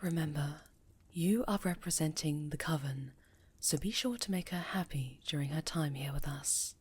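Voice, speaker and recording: Woman voicing a witch coven leader, stern, lowish pitch, formal, measured, commanding, fantasy ASMR roleplay. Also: a little hiss or hum, close to an everyday voice. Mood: gracious, formal. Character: stern, commanding female high enchantress leading a witch coven.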